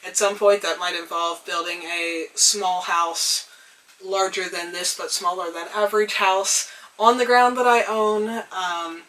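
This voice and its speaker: A young woman getting over a cold, her voice a little hoarse, talking thoughtfully to the camera with frequent pauses and ums. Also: fairly low pitch, fairly bright tone, recorded from a distance, poor audio. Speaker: vlogger. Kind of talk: reflective casual talk to camera. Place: tiny house.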